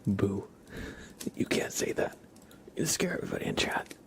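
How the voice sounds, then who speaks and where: A man hosting an ASMR livestream, chatting softly and mostly in whispers close to the microphone. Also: on the low side, wildly swooping pitch, vocal fry, slight background noise. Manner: slow, soft, mostly whispered.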